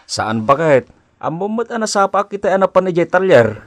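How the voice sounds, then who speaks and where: Placating husband, dry close sound. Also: male voice, high-pitched, sing-song, normal speed, dry recording. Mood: resigned. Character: placating working husband, a car mechanic. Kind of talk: Philippine radio comedy drama acting.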